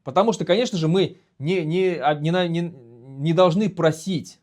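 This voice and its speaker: A man with a fairly high voice gives a solo commentary to camera. He is a native Bashkir speaker from Bashkortostan. He sounds earnest and indignant, pausing and restarting often. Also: darkish tone. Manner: earnest, indignant, halting with fillers. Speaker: video host and activist.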